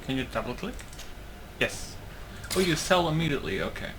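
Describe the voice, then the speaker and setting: A man giving a casual let's play commentary, thinking aloud in a halting way. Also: fairly high pitch, very animated. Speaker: gaming YouTuber. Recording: clear game noise behind. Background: video game ambience.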